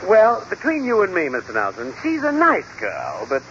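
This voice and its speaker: Fussy male store clerk, wry and theatrical, very animated high delivery, vintage radio comedy. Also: normal speed, heavily acted. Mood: confiding. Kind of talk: 1940s radio sitcom acting. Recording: lightly hissy, muffled old-time radio recording.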